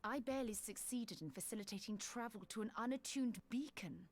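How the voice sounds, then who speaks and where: A woman's voice: on the high side, brisk. A woman playing a modest, careful mage in a fantasy video game, speaking in formal phrases. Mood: doubtful.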